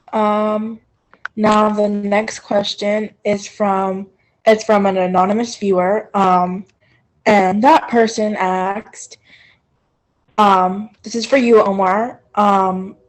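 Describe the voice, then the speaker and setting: A woman moderating a library author talk, reading out audience questions with many hesitant pauses. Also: mid-range voice, poor audio.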